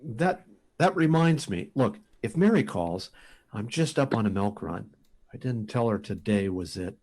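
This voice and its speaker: A man's voice: fairly low pitch, lively intonation, unhurried, a little mellow. A man playing a cocky, wisecracking rocket test pilot in a 1950s radio drama style. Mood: casual, wry. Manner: breezy, wry.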